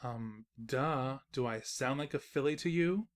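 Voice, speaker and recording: A man playing a snarky, defensive invisible narrator of a comic pony story, reading in a wry, expressive storytelling manner. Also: medium pitch, a little slow, natural voice. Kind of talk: scripted narration of comic fan fiction.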